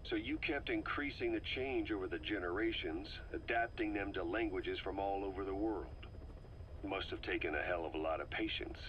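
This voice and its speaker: An actor playing a gruff, skeptical military interrogator in a video game, asking plain, probing questions. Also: male voice, deep voice, normal speed, natural voice. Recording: muffled cassette tape with background noise.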